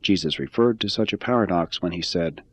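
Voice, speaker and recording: Deep husky man reading a religious text. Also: a little fast. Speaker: reader.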